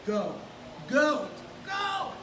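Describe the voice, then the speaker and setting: A woman's voice: high-pitched, very animated, extremely slow. A woman teaching jiu-jitsu, encouraging and walking a student through each move as they grapple. Her voice comes from far across a large gym, with a roomy echo and a noisy background. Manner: encouraging, coaching step by step while grappling. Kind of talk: hands-on technique teaching. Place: large indoor gym with mats. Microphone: camera far across the room.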